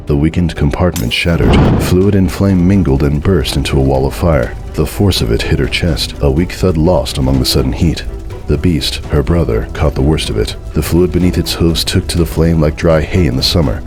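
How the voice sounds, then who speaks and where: Male narrator, extremely low pitch, dramatic horror storytelling with clearly audible music underneath.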